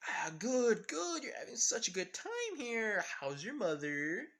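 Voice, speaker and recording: A narrator voicing a jumpy, apologetic young woman who stammers and turns shrill when panicked. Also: very animated, a little fast, sharp tone, heavily acted. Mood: sweet.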